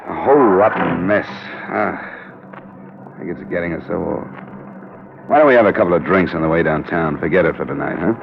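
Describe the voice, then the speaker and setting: A man's voice: deep voice, a little fast, creaky. An American man playing a cool, world-weary insurance investigator in an old radio crime drama. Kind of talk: 1940s-50s radio detective drama acting. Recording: dull old radio broadcast recording.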